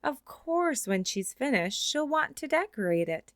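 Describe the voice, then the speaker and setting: A woman reads a children's picture book aloud with a fairly high, bright voice. Her delivery is expressive, and she slows down for the closing lines. The sound is dry and close, with no room echo. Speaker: storybook reader. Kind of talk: children's read-aloud narration.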